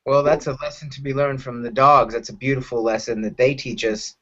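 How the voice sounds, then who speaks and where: A man's voice: mid-range voice, little pitch movement, fairly quick, sharp tone, muffled recording. A man chatting casually as an interviewer on a podcast. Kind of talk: casual chat.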